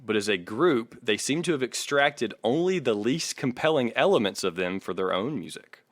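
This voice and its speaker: A man's voice: medium pitch, animated, normal speed, no echo. A man co-hosting a casual podcast, reading from articles and arguing his points in an opinionated way. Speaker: podcast co-host.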